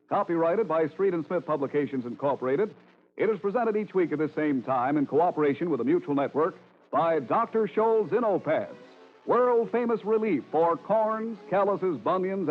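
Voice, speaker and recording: A male announcer reads scripted credits in old-time radio style. His voice is fairly high, over music, on a thin, phone-like vintage recording.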